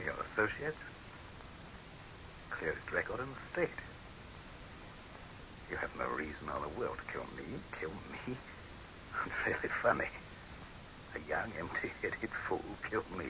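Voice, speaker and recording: Deep-voiced, pompous tyrannical dictator. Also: male voice. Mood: scornful, incredulous. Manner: imperious, haughty, barking orders. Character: pompous tyrannical male dictator, commanding, scornful, cracking into panic. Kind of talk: 1930s radio horror drama acting. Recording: hissy, dull 1930s radio recording.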